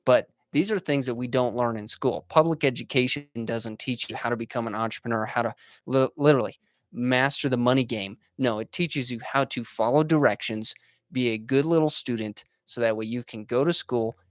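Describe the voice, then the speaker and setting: A young man in his twenties hosts a solo podcast, talking earnestly to his listeners. He speaks in a motivational way, often pausing on fillers and restarting his sentences, and his voice sits at an ordinary middle pitch. The recording is dry and close, with no room sound. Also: no room sound. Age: 20s. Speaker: podcast host. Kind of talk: casual monologue.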